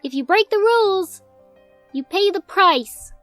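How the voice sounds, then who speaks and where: A very high, childlike voice of a ghostly young filly, as a woman puts her on, sweet and secretive in a horror storybook reading. Faint music plays underneath. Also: expressive, unhurried, fairly bright tone, dry recording, full character voice. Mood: eerie sweet.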